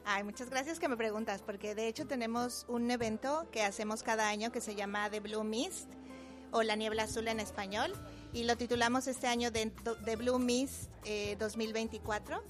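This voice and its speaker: A woman's voice: a little high, steady tone, normal speed. A woman from Mexico City with a Mexico City accent, a dance group member explaining things in a friendly way with many fillers. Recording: interview with loud music behind. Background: music playing.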